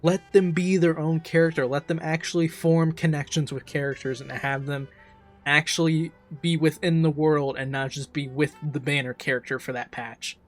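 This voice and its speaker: A man's voice: a little high, flat delivery, normal speed. A man giving casual, emphatic, enthusiastic commentary as a game reaction streamer. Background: faint game music.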